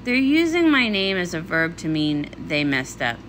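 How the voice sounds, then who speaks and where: A woman's voice: fairly low pitch, big pitch swings, normal speed, fairly bright tone, slight background noise, pitched below the performer's own voice. A woman voicing a young female pony pop star, dramatic, defensive and a little whiny, in a comic read-along. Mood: sheepish.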